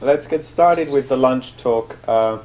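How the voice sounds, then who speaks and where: A man hosting a lunch talk, introducing a guest speaker in a halting way with many fillers. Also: medium pitch, a little mellow. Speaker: event host.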